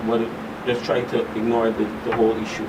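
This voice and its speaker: Halting man, distant in background noise. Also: medium pitch, monotone. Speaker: expert guest, school counselor. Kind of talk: conversational explanation in a radio interview. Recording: distant mic, clear hum and background noise.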